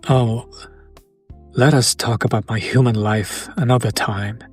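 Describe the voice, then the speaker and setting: A man's voice: medium pitch, fairly even intonation, unhurried, soft background music, close to an everyday voice. A man playing a charming, old-world vampire in a romantic audio roleplay, speaking to his beloved in formal, attentive, archaic phrasing. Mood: genteel, admiring. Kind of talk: romantic audio roleplay, intimate scripted acting.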